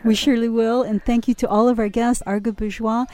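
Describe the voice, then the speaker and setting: A woman co-host signs off a radio show with gracious thanks. Her voice is mellow and dark-toned. Faint music plays underneath. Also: on the high side, level tone, brisk.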